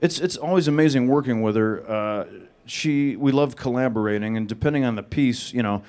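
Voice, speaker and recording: A man answering audience questions at a panel in a flat, deadpan monotone. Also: mid-range voice, fairly quick. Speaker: panel guest.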